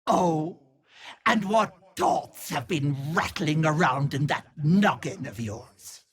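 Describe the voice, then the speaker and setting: A sly old Irish witch for a video game. The voice is husky and raspy, swooping widely in pitch and taking its time. She coos sweet endearments with gleeful menace underneath. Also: expressive, takes it slowly, heavily acted. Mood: coy, sweet. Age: older. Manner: wheedling endearments turning to menace. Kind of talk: video game character acting.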